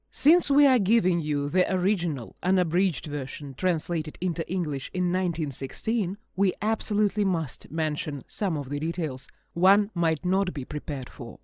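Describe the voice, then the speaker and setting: A woman co-hosting a podcast, reading scripted explanatory notes in a measured way. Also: lowish voice, warm tone, dry recording, studio quality. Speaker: podcast host.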